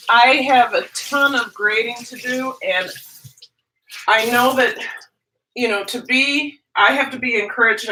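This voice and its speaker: A woman from Minnesota with her home accent, talking loosely and jumping between thoughts as she answers viewers live. Her voice sits a bit high and rings bright. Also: a little hiss or hum, low-quality recording. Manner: chatty, rambling, self-interrupting. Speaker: teacher and livestream host. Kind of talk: casual livestream chat, reading viewer comments.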